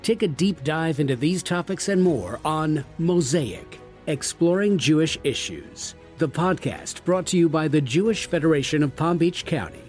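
A man reading a scripted podcast promo in a measured announcer style. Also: fairly high pitch, lively intonation, a little mellow, faint music behind.

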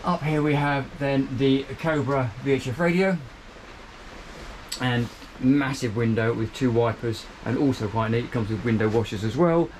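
A man's voice: medium pitch, moderate pace, darkish tone, a little hiss or hum, rough recording. A man, giving an upbeat walkthrough tour of a small motor boat cabin, filmed on a handheld camera. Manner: chatty, upbeat, pointing out features as he moves. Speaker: boat reviewer.

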